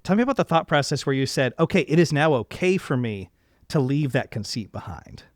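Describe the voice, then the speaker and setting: Man, medium pitch, casual podcast interviewer, thinking aloud and rephrasing, close dry recording. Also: animated, fairly quick, no echo. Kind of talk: casual long-form interview questioning.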